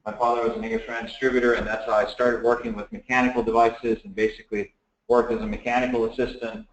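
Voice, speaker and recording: A man from the Dominican Republic giving a relaxed technical talk at a seminar, with many fillers and restarts. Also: mid-range voice, fast, muffled recording. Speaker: guest speaker. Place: seminar room, echoey. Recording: echoey room.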